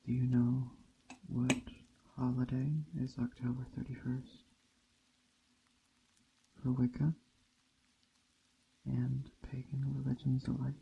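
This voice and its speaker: A man speaking softly and deliberately in an ASMR roleplay as a gentle witch, often slipping into a whisper. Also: mid-range voice, completely flat delivery, creaky. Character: gentle pagan witch teaching a traveler about a holiday. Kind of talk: ASMR roleplay, soft-spoken character monologue. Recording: dull muffled audio, faint ambient noise. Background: soft nature ambience of rain and forest.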